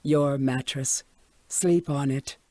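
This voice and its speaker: An actor playing a controlling radio station pitchman, reading retro ads in a reassuring but commanding manner. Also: fairly even intonation, takes it slowly, natural voice. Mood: soothing, insistent. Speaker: radio announcer.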